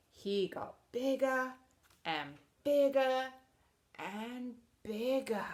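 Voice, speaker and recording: A woman teacher reading a storybook aloud to children expressively, pausing to explain things in simple words. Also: mid-range voice.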